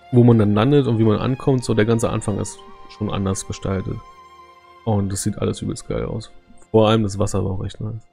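Chill guy streaming a game, deep-ish mellow voice, casual slangy commentary, faint music behind. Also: creaky. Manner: colloquial, laid-back. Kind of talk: casual live gameplay commentary.

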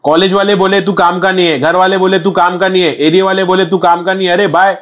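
Young man, Mumbai Hindi accent, high-pitched voice, animated, slangy storytelling, studio interview. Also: quick pace. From Mumbai, India. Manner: animated, repetitive, streetwise slang. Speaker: rapper guest. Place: small studio set with chairs.

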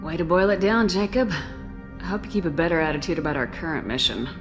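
A woman's voice: on the low side, expressive, fast, close to an everyday voice. An actress playing a composed, authoritative female military commander in a video game, speaking directly. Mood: friendly, composed. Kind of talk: naturalistic video game acting. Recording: video game music underneath.

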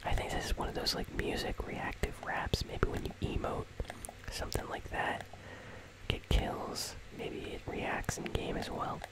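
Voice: measured pace, rough recording. Whispering ASMR gaming host. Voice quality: breathy, unvoiced whisper. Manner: casual, conversational. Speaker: host of a gaming news channel. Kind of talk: whispered ASMR game commentary.

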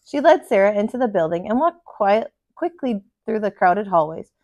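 A woman in her thirties, a kindergarten teacher, reading a children's picture book aloud at home with expressive voices. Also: medium pitch, normal speed, dry recording. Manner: expressive, lively character voices. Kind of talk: children's storybook read-aloud. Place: living room at home.

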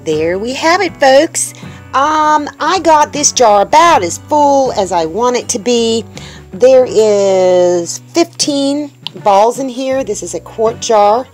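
A woman's voice: on the high side, animated, slow, soft background music. A woman making a homestead vlog, chatting casually to her viewers as she shows a cooking step by step. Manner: chatty, upbeat, talks to viewers as folks. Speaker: homestead vlogger. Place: indoors in a small tiny-house kitchen.

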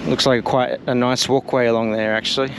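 A man's voice: medium pitch, little pitch movement, a little fast, vocal fry. A man, a teacher and travel vlogger, chatting casually and rambling a little as he walks through a town and films on a handheld camera. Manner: chatty, rambling, self-deprecating. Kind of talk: casual walking commentary. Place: outdoors on a town street and bridge. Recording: street noise behind the voice.

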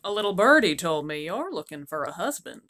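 A woman plays a smug, dim prince from a comic fairy tale. He is goofy and pleased with his own wit. The delivery is very animated. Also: lowish voice, fairly quick, voice pushed down. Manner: dim, self-amused.

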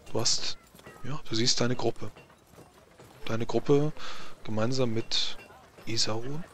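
A man narrating as game master, improvising atmospheric scenes in measured, short phrases with pauses. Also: lowish voice, fairly bright tone, a little hiss or hum.

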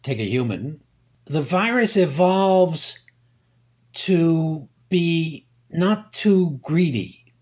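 An older man, a guest scientist, explaining slowly and deliberately, pausing and repeating words as he thinks. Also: a little high, animated, a little mellow. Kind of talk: unhurried expert explanation.

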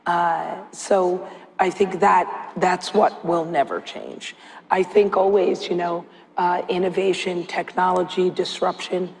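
A woman's voice: fairly low pitch, steady tone, slow, creaky. A woman media executive speaking on a stage panel in an upbeat corporate way with a lapel mic. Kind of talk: panel discussion. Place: large hall stage. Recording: long hall reverb, faint room noise.

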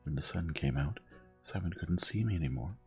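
Bitter jilted young woman, high and raised, slips into breathy whispers, acted by a man. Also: expressive, fairly quick, darkish tone, faint music behind. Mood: passionate. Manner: intense, breathy whispers between outbursts.

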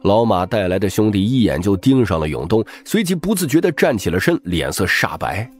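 A man's voice: fairly low pitch, sing-song, a little fast, husky. A man narrating scripted fiction as a dramatic audiobook reader.

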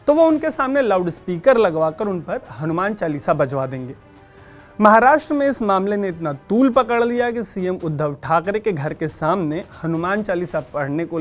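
A man in his thirties presenting explanatory news to camera in an emphatic, conversational way. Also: sits high. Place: indoor news studio. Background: background music.

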